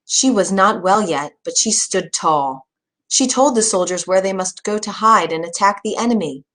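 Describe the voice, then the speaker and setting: A woman storyteller reading a scripted bedtime story for children, at a medium pitch. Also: expressive, moderate pace, a bit bright.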